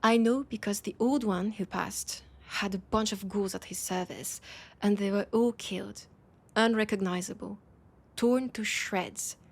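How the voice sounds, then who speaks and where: Woman doing a proud worried vampire lady, french accent, raspy n creaky, halting earnest audio roleplay delivery. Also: medium pitch, steady tone. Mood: grave, troubled. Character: proud, worried female vampire of a supernatural council. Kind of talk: intimate scripted audio roleplay.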